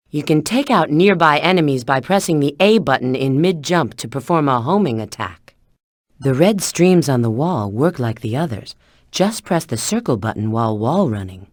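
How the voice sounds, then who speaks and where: A woman's voice: low voice, dry recording, clean studio sound. An actress playing a confident female government agent who gives clipped, instructive mission tips in a video game.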